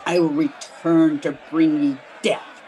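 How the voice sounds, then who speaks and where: A woman's voice: low voice, level tone, slow pace, mellow, some chatter behind, low-quality recording. A woman playing a condemned woman swearing vengeance from beyond death, wailing and declaiming in archaic old-time radio horror style. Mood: vengeful defiance. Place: at home, remote session. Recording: home remote recording with clear background noise.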